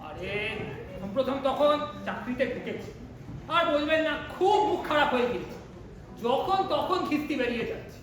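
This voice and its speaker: A man playing a meek, squeamish gentleman, protesting apologetically in an amateur stage comedy. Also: extremely high pitch, big pitch swings, normal speed. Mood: apologetic, protesting. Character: meek, squeamish middle-class gentleman reluctant to swear. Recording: echoey hall, distant mic, clear noise.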